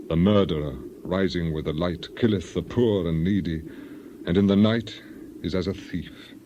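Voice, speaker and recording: A man's voice: deep voice, background noise. A man playing an aggrieved, devout ancient sufferer in a dramatized scripture reading, solemn and declamatory. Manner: solemn, declamatory, emphatic, archaic phrasing.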